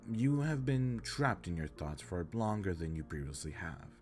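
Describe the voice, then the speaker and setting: A man's voice: low voice, big pitch swings, normal speed, darkish tone, faint music behind. A man playing an earnest, caring alien spaceship captain in an audio roleplay, speaking in stiff, formal, precise sentences. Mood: concerned, apologetic. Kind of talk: scripted roleplay audio drama, addressing the listener.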